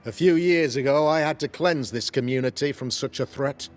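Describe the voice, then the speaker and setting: A man's voice: mid-range voice, normal speed, close to an everyday voice. Video game role: pious witch-hunting colonial governor, played by a man. Pompous, preacherly, medium register, light music bed. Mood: grave, insistent. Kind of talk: dramatic video game acting.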